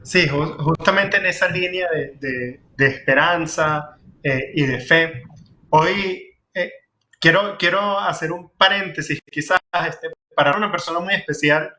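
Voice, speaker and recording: A man's voice: a little high, a little slow, pushed and bright, a little hiss or hum. A man with a Venezuelan accent, speaking earnestly in a television talk-show discussion, with frequent fillers and restarts. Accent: Venezuelan Spanish. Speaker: community director.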